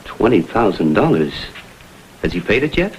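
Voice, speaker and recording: A man's voice: lowish voice, big pitch swings, moderate pace, a little hiss or hum, rough recording. An American man playing an earnest, persistent photographer-investigator in a vintage TV crime drama, speaking in an urgent, clipped way. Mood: concerned, probing.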